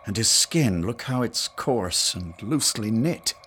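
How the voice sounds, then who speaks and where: A man playing a sober, matter-of-fact colonial doctor in a period radio drama, with a measured, explanatory delivery. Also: on the low side, expressive. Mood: intent. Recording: clear ambient noise behind.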